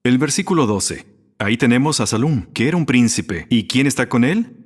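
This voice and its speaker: A man delivering a prepared religious sermon in a measured, didactic manner. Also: mid-range voice, lively intonation, husky. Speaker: religious speaker at a graduation.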